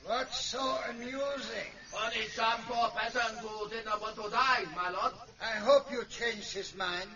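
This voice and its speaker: Castle figure, very high strained calling, sharp, radio drama, muffled recording. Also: male voice, steady tone, unhurried, long pauses, full character voice. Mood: imperious, shouted. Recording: distant, dull, slightly noisy radio recording.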